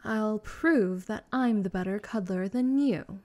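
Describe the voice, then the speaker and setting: A vampire girl, acted by a woman speaks slowly in a middling female pitch. She is smug and possessive, teasing and doting by turns, in the manner of a comedic roleplay audio drama. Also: darkish tone, lightly acted. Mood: defiant. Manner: haughty, doting, teasing, drawn-out delivery.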